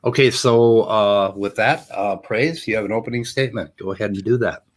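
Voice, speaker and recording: Man moderator, casual and joking, somewhat low voice. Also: moderate pace. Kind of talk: moderating an online debate.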